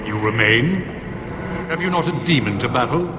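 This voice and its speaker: An actor playing a proud, stern spirit of valor in a fantasy video game, speaking in a formal, declamatory way. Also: male voice, mid-range voice, expressive, moderate pace, close to an everyday voice. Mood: proud, challenging. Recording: long echoing reverb, clear background noise.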